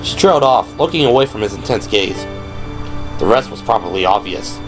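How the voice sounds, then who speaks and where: A man's voice: lowish voice, lively intonation, a little fast. A man reading scripted third-person narration for an audio drama. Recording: music behind the voice.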